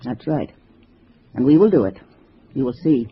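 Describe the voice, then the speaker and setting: A woman's voice: a bass voice, lively intonation, moderate pace. Gray-haired female party boss: didactic, assured, scheming, older, on a dull vintage radio drama recording. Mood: contemptuous, assured. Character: gray-haired female communist organizer, sweet-faced, calculating, commanding. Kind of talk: 1950s radio drama acting. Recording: muffled vintage radio recording, faint hiss.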